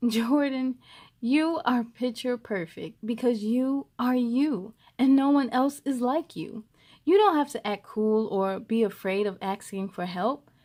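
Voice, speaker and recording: A woman voicing a kind, patient storybook uncle, gently and emphatically encouraging his young nephew. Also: a little high, measured pace, lightly acted.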